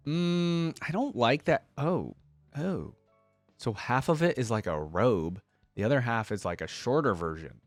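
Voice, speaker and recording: A man's voice: mid-range voice, animated, unhurried, no room sound, faint music behind. A man giving casual reaction commentary as a video host, thinking aloud in informal, slangy language.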